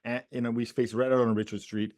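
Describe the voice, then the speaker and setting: A man's voice: medium pitch, flat delivery, quick pace, a little mellow, no room sound, clean studio sound. A man co-hosting a casual political chat podcast, rambling with many restarts and repeated words. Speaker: podcast co-host.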